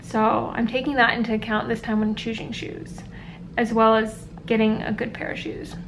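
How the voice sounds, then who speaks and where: Young adult woman, casual vlogger, slightly high voice, rambling and upbeat, faint background hum. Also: level tone, moderate pace. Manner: chatty, upbeat, rambling with many likes. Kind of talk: casual vlog chat. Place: at home and out and about. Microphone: handheld compact camera.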